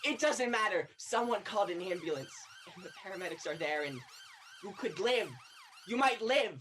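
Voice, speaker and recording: A woman's voice: medium pitch, huge pitch swings, normal speed, fairly bright tone, a little hiss or hum. A young woman on stage playing an earnest, insistent guide to the afterlife, probing and solemn, in a student one-act play. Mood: excited, urgent. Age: young adult.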